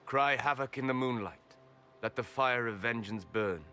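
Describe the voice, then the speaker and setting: A man's voice: mid-range voice, normal speed, bright, forceful tone, soft background music, lightly acted. A man playing a cultured, learned figure in a video game, with precise, dramatic delivery. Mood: solemn recitation. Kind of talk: video game cutscene acting.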